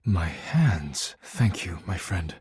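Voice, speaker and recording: An actor playing a formal, proud and earnest man in a video game, speaking in measured, precise phrases. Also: sits low, big pitch swings, a little mellow, slightly rough, no echo, exaggerated voice. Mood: awed, passionate. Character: formal, proud, earnest man devoted to his work and art. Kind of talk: video game character voice acting.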